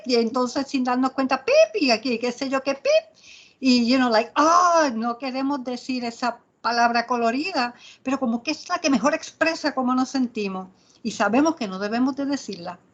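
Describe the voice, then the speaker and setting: A woman's voice: high-pitched, animated, normal speed. Woman leading a Bible study, muffled call. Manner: mixes in English fillers, laughs often. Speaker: Bible study group leader. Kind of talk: group discussion moderating. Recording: muffled video call audio.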